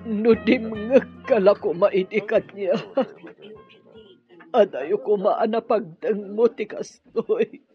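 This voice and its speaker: A woman's voice: mid-range voice, slow, faint music behind, lightly acted. A Filipino woman acting in a radio drama as a grieving mother and widow. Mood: grieving, resigned. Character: grieving mother and new widow of a wicked man. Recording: dull, lo-fi radio drama audio.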